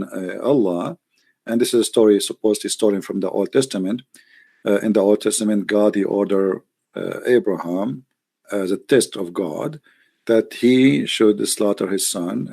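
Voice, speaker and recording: Gravelly-voiced man hosting a call-in. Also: lowish voice, a little mellow. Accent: Arabic. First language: Arabic. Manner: teasing, jokey, non-native phrasing. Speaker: host taking calls. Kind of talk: casual call-in debate chat.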